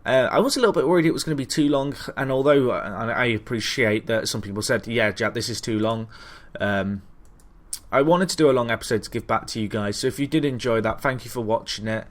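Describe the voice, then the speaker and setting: A man's voice: medium pitch, fairly quick. Chatty man doing casual gaming commentary. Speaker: gaming video host. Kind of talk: casual Let's Play commentary on a football management game.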